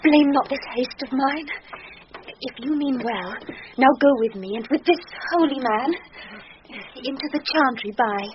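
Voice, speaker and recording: A woman's voice: high-pitched, a little slow. An actress playing an ardent noble lady, urgent and anxious in love, speaking formal verse in classical radio drama style. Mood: ardent urgency. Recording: dull lo-fi radio recording, clear noise.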